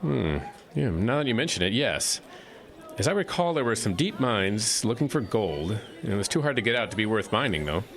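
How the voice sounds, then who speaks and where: A man's voice: on the low side, big pitch swings, moderate pace, fairly bright tone. A man playing a wry, easygoing science teacher in an audio drama, explaining things with hesitant starts. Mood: musing.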